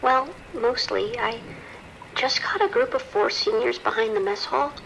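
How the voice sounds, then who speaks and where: A woman's voice: a little high, very animated, a little slow, creaky, a bit bright, highs cut off, a little hiss or hum, full character voice. A woman playing an earnest, hesitant camp counselor making a report in an audio drama. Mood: hesitant, concerned. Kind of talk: naturalistic audio drama acting.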